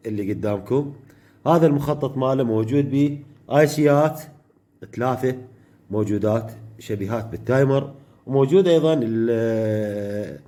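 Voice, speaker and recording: A man with an Iraqi Arabic accent teaching an electronics workshop step by step, pausing often mid-phrase to find the next word. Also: mid-range voice, mellow. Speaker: electronics tutor.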